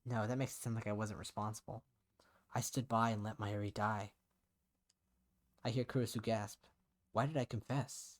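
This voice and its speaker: A man's voice: lowish voice, fairly even intonation, moderate pace, long pauses, close to an everyday voice. A man voicing a guilt-ridden, despairing young man who narrates his own visual novel story, somber and introspective. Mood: guilty, brooding.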